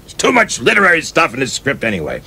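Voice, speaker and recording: Man playing a theatrical young film director, animated and husky. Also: medium pitch, quick pace, exaggerated voice. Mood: brisk, decisive. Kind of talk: radio play acting.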